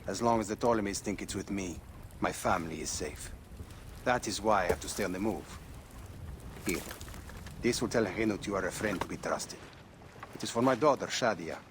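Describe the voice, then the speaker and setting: A man's voice: lowish voice, normal speed, long pauses, background noise, lightly acted. An actor playing a weary, wary rebel father on the run in a video game cutscene. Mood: grave, wary. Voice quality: wary and grave.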